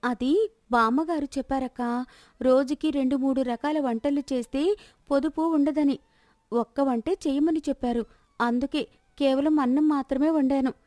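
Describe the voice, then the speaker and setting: A woman's voice: high-pitched, fairly even intonation, normal speed, darkish tone, no room sound, clean studio sound, pitched above the performer's own voice, close to an everyday voice. A woman voicing a polite, obliging housewife with an innocent-sounding manner in a moral story. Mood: mock innocent. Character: polite, obliging young housewife turned slyly defiant.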